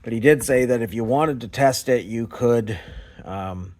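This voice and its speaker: A man casually showing and explaining things as a video host, in a halting, unsure way. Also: medium pitch, a little mellow. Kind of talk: casual show-and-tell explanation.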